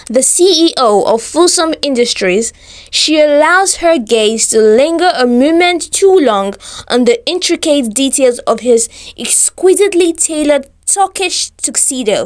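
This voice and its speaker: A woman storyteller reading a scripted romantic tale in a formal, descriptive, careful manner. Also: high voice.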